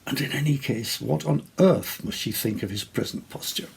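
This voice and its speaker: A man reading a literary short story as an actor would, measured, expressive and deliberate in his dramatic narration. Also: mid-range voice, creaky, husky.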